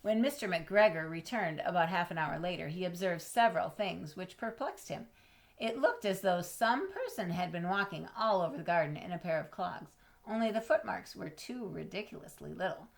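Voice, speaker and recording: A woman with a fairly low voice reads a storybook aloud to children. She is expressive, stretching out key words and pausing for drama. Now and then she steps aside to put questions to her listeners or explain a word. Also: vocal fry. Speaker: storybook reader.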